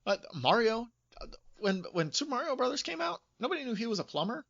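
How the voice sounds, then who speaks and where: A man co-hosts a casual podcast in a bright voice with a gravelly edge. He lays out his opinions emphatically. The audio is dry and close but somewhat dull and lo-fi. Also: very high voice, big pitch swings, fast. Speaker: podcast co-host.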